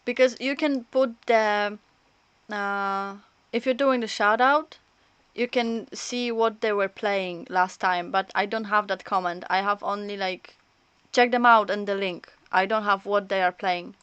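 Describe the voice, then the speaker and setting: A woman's voice: medium pitch, fairly even intonation, unhurried, fairly bright tone, clear, resonant tone, no echo. A woman streaming a game, commenting casually in a chatty, rambling, hesitant way. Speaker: livestreamer.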